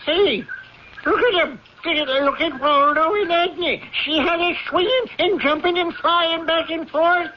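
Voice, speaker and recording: An actor playing an excitable, folksy male zookeeper who is fond of his apes, in a radio drama. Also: extremely high pitch, measured pace. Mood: amazed. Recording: noisy muffled radio drama tape.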